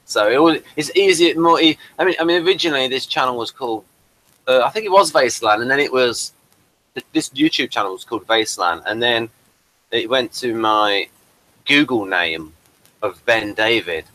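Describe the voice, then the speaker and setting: A man's voice: medium pitch, lively intonation, moderate pace, bright, forceful tone, rough recording. A man hosting a casual interview, rambling and chatty and addressing viewers as folks. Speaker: host and playwright.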